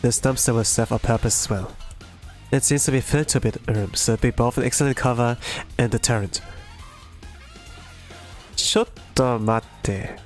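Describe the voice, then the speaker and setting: A streamer of unclear sex reading a game story aloud in a dramatic, halting way, stumbling over words now and then. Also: medium pitch. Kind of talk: dramatic readalong with put-on character voices. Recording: music behind the voice.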